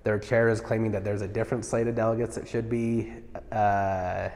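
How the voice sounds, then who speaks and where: A man's voice: on the low side, little pitch movement, darkish tone. Earnest man in a reverberant hall. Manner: earnest, measured, many "uh" fillers. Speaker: party official interviewee. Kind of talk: interview answers. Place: large marble stairwell indoors. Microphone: lapel.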